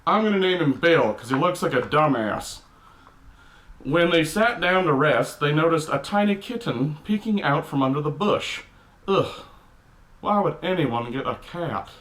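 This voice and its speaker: A man's voice: a little high, lively intonation, unhurried, fairly bright tone. A man putting on a cranky middle-aged Texan dad with a Texan accent, reading a picture book aloud with blunt, exasperated asides. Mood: grumbling. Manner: grumpy, exasperated, blunt, mildly profane. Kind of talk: comic character storybook reading with grumbling asides.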